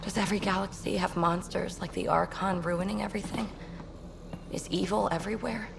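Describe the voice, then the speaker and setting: A woman's voice: mid-range voice, steady tone, a little fast, vocal fry, noisy background. A woman playing a tense, determined young space explorer in a video game. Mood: troubled. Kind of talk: video game character dialogue.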